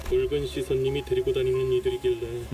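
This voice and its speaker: A man's voice: medium pitch, little pitch movement, very fast, over loud music, close to an everyday voice. A man playing a smug, mocking villain in a dramatic video game. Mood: smug mockery. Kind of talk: Korean game voice acting.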